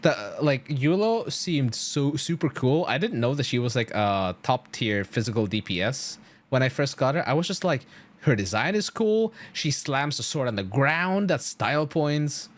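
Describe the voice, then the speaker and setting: A man's voice: mid-range voice, lively intonation, normal speed. A man streaming a game, chatting casually to viewers in an enthusiastic, slangy, rambling way.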